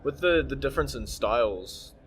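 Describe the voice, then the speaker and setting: Chatty New Zealand interviewer. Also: male voice, mid-range voice, animated, moderate pace, slight background noise. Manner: chatty, hesitant with fillers. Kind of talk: casual interview.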